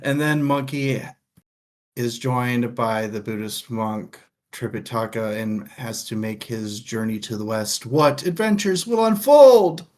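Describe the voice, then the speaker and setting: A man's voice: medium pitch, measured pace, a little mellow. A man co-hosting a casual live book discussion, speaking in a jokey, informal, playfully theatrical way. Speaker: co-host of a book discussion show.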